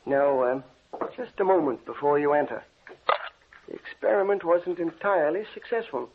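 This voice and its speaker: An actor playing a courteous, secretive scientist with a deliberate, expressive delivery in an old-time radio drama. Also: male voice, a little high, natural voice. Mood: ominous. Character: courteous, secretive male scientist with a dark experiment. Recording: noisy, tinny old radio recording.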